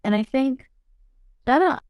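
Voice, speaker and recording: Chatty young actress, bright higher voice. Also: female voice, moderate pace, clean tone, dry recording. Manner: chatty, animated, frequent fillers like "you know what I mean". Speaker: actress and interview guest. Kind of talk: casual interview talk. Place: studio podcast set.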